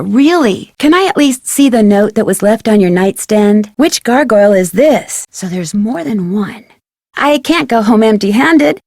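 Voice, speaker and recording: Young girl detective from a video game, highish voice, curious n polite, really dry close sound. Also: a little mellow, dry recording, heavily acted. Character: young female amateur detective, friendly, curious, persistent. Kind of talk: scripted adventure game dialogue.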